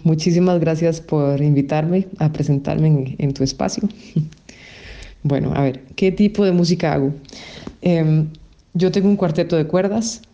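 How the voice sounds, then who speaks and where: A woman's voice: deep voice, dark tone. Thoughtful woman musician from Costa Rica, muffled audio. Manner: thoughtful, with frequent hesitation sounds. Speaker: musician guest. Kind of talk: casual interview answers.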